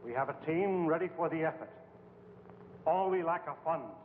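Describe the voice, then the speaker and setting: A man's voice: a little high, normal speed, in a large hall, close to an everyday voice. A man playing an embattled, earnest scientist in 1950s film style, speaking in a formal, declamatory way. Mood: earnest, persuasive. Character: embattled, earnest male scientist pleading to save mankind. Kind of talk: 1950s film acting, oratorical. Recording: hollow, tinny old film soundtrack, hiss.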